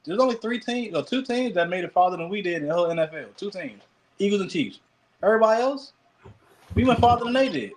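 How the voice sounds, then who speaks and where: A man co-hosting a sports podcast, talking casually in a wry, self-mocking way with halting restarts. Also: high voice, animated, crowd noise, rough recording.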